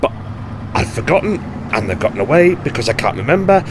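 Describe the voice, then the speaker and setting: An actor playing a blunt, harried 1960s police detective in an audio drama, with an English accent and an exasperated, colloquial manner. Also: male voice, mid-range voice, sing-song, fairly quick, background noise, lightly acted. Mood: worried.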